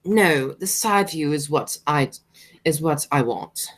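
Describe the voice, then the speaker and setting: Radio-play aristocrat played by a woman: snobbish, money-minded aunt, pompous delivery on the low side, wildly varied intonation. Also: expressive, slow, exaggerated voice. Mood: appraising, condescending. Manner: pompous, declamatory, stumbles over words. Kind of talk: stage comedy as amateur radio play.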